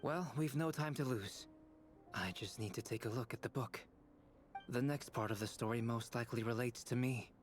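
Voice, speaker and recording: An actor playing a calm young male alchemist in a game, speaking in a measured, analytical way. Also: medium pitch, lightly acted. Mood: thoughtful. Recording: game audio with clear music behind.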